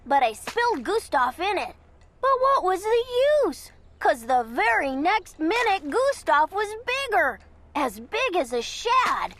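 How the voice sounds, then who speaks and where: A woman with a very high voice reads a children's story aloud. Her delivery is slow and dramatic, with lively swings in pitch. There is clear noise behind her. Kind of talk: expressive children's picture book read-aloud.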